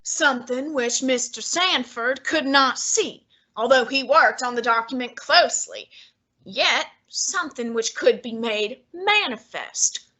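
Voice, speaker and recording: A woman playing a portly, imperious male detective genius in a student radio drama, measured and condescending. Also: high voice, animated, bright, forceful tone, heavily acted. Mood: commanding. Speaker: student actor.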